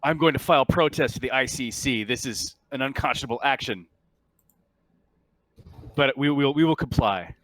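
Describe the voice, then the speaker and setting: A man's voice: mid-range voice, normal speed, pauses often, a bit bright, dry recording, natural voice, poor audio. Man as a male salvage captain, indignant, formal, protesting, sci-fi tabletop game, mid-range voice. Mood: indignant, grudging.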